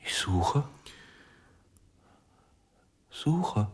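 An actor in a radio play playing a desperate, broken ventriloquist with a husky, often whispering voice and a halting, pleading manner. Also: male voice, mid-range voice, very animated, heavily acted. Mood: broken, lost. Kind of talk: German radio play acting.

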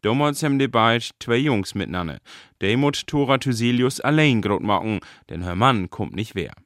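A man with a northern Low German accent, narrating a scripted radio report. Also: animated, moderate pace, fairly bright tone, no room sound, studio quality.

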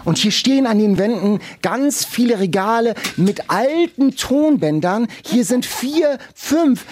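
A woman's voice: on the high side, lively intonation, measured pace, darkish tone, slight background noise. A middle-aged woman hosting a podcast, describing and commenting in a conversational way. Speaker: podcast host and radio journalist.

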